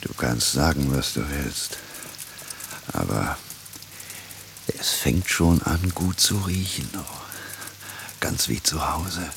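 An actor playing a scruffy, cocky, optimistic adventurer on the run in a radio play, chummy and given to boastful rambling. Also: male voice, extremely low pitch, animated, slow, creaky, pauses often, a bit bright, a little hiss or hum, exaggerated voice. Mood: chummy, musing.

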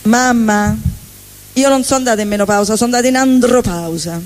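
A woman's voice: a little high, brisk, soft background music. Sarcastic woman comic. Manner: sarcastic, rattles off lists. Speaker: comedian. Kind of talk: stand-up monologue. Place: stage at a live benefit evening.

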